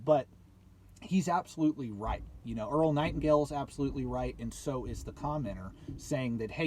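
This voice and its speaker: Male, late 20s, motivational vlogger, mid-pitched, emphatic and repetitive, faint background noise. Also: a little mellow. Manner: emphatic, repeats points, rhetorical questions. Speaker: YouTube channel host. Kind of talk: motivational vlog talk to viewers.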